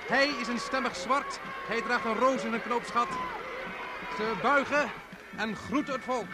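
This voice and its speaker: An actor playing an excitable live broadcast commentator in a radio play, urgent and exclamatory. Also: male voice, very high-pitched, measured pace, over a noisy crowd. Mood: excited. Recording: loud noise around an outdoor-sounding commentary.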